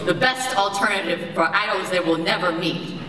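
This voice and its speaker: A teenage girl from the United States performing spoken word poetry on stage, with an impassioned, rhythmic delivery and pointed pauses. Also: on the low side. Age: teens. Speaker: slam poet. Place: large performance hall, on stage. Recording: echoey hall from far off, crowd noise. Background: live audience.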